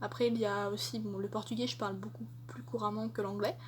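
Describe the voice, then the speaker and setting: A woman's voice: medium pitch, level tone, fast, a little hiss or hum. A young woman answering tag questions in a casual vlog, chatty and hesitant with many fillers. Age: young adult. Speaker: vlogger.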